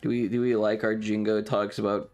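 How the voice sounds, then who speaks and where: A man's voice: fairly low pitch, little pitch movement, brisk, a little mellow, faint music behind. A man hosting a casual livestream, chatting with viewers in a playful, rambling, slightly distracted way. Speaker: live streamer and host.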